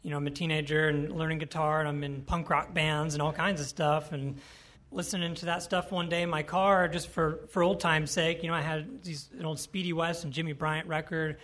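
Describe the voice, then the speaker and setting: A man from Ohio with a nasal voice, a country musician telling stories in a relaxed, rambling way during an interview. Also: a little high, a little fast. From Ohio, USA. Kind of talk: casual interview talk.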